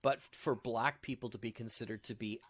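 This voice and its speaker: A man with an average-pitched voice takes part in a podcast panel. His delivery is casual and conversational. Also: fairly even intonation, normal speed. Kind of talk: casual discussion.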